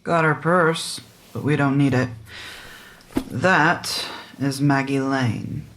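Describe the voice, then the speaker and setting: An actress playing a wry, tired small-town sheriff who teases in a dry, unhurried way, in an audio drama. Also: female voice, a bass voice, steady tone, a bit bright, slight background noise, full character voice. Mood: wry, teasing.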